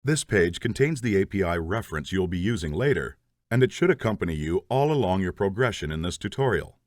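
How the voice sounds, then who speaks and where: A man's voice: deep voice, sing-song, brisk, dry recording. Man, methodical tutorial narration, dry close sound. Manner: methodical, explains step by step. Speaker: programming instructor.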